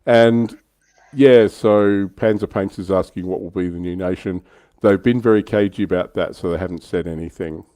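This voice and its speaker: A man's voice: sits low, moderate pace, mellow, dry recording. A man hosting a livestream, chatting informally through a casual hobby discussion. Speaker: livestream host.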